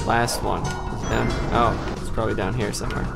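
A man's voice: on the low side, level tone, fairly quick, creaky. A young adult man giving casual, playful commentary as he plays and hosts a let's play. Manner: giggly, playful. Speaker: let's play host and player. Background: video game music.

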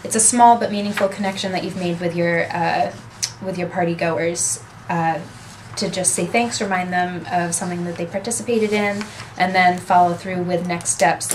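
A woman's voice: lowish voice, a little hiss or hum. A young adult woman presenting a workshop in a small office conference room, explaining steadily and gesturing with her hands, picked up by a camera across the room. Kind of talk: seminar talk.

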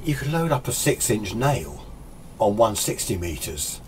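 An older British man in his sixties with a lowish voice talks off the cuff in a chatty, opinionated way. He rambles through an informal hobby tutorial, with clear noise behind him in a small workshop. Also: big pitch swings, unhurried. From United Kingdom. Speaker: amateur radio hobbyist presenting a tutorial. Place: small indoor radio workshop.

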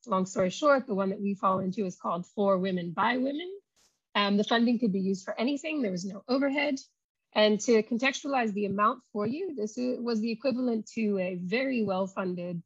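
A woman's voice: medium pitch, breathy edge, no room sound, muffled recording. A woman lecturer giving a conversational academic talk over slides, explaining with frequent ums and you knows. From not South African. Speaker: university oceanography lecturer. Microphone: video call.

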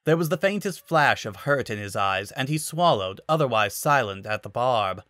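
Male narrator for dramatic fiction reading, mid-pitched, very dry recording. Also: lively intonation, normal speed, a bit bright, no room sound.

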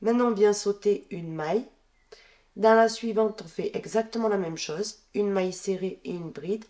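A woman with a stuffy head cold talks through a crochet pattern in a calm, even voice. She counts her stitches aloud as she works and keeps every step plain. Her voice sits at an ordinary middle pitch for a woman. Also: moderate pace. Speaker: crochet tutorial host. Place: indoors at a wooden table.

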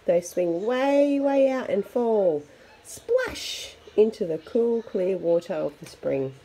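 A woman reads a picture book aloud for young children, expressive and chatty with her listeners. Her voice is mellow, with noticeable creak at its edges. It is a simple home recording with faint background noise. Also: mid-range voice, takes it slowly, poor audio. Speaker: storybook reader for children.

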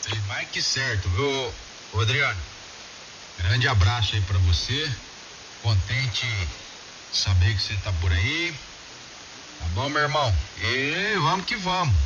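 A man's voice: medium pitch, expressive, unhurried, long pauses, recorded from a distance. A Brazilian man chatting casually as an amateur radio operator. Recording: dull radio audio with clear hiss.

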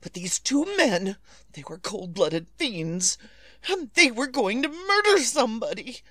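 A high-strung, imperious married woman in an old radio thriller. Her high voice swoops theatrically as she frets and demands. The sound is close and dry. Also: big pitch swings, bright, forceful tone, no room sound, full character voice. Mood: agitated, pleading. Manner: dramatic, demanding, flustered and halting. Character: imperious, anxious, fretful married woman making frantic phone calls. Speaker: lead actress. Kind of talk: 1940s radio thriller acting.

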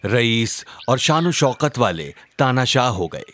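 Male narrator for children's religious stories: deliberate, animated delivery, faint noise in the background. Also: medium pitch. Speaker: storyteller narrator.

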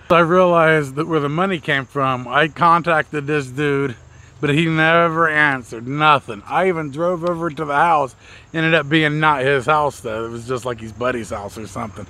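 A man's voice: a little high, normal speed, pushed and bright. An American man in his thirties telling a story casually outdoors to camera, with blunt, slangy delivery. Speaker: mobile mechanic and video host. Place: outdoors in a leafy backyard.

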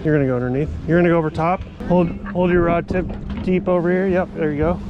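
A man's voice: fairly high pitch, steady tone, fast, dull audio, loud music behind the voice. A man working as a fishing guide, casually giving short, repeated instructions and encouragement outdoors on a boat. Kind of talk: casual coaching shouted to anglers during fishing. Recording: loud wind, boat engine, outdoor noise.

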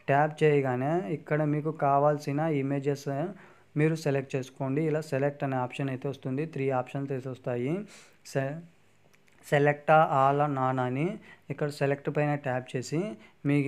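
A man's voice: medium pitch, darkish tone, clear, resonant tone. A man hosting a phone app tutorial, talking through each step and constantly repeating fillers and "friends". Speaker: tech tutorial host. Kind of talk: screen-recorded app tutorial.

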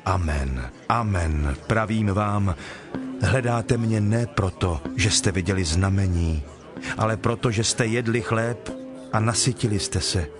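Guy acting calm holy teacher in bible drama, kinda deep, solemn n measured, music behind. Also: close to an everyday voice. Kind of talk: dramatized scripture reading.

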